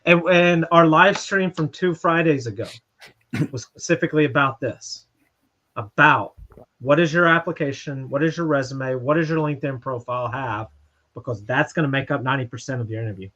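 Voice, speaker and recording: A man's voice: mid-range voice, normal speed, sharp tone. A man co-hosting a live stream, playing the interviewer in a hesitant, filler-heavy way. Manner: hesitant, with fillers and repeated words. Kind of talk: casual live-stream chat and role-play interviewing. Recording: dull lo-fi sound.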